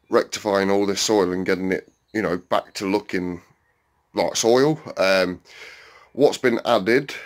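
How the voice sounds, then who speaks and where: A man's voice: low voice, no room sound. A man chatting casually to his viewers while walking around his allotment and greenhouse, with lots of "um"s and asides. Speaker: allotment vlogger. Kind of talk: casual walk-around vlog. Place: outdoors on an allotment and inside a greenhouse. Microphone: handheld phone camera, filming while walking.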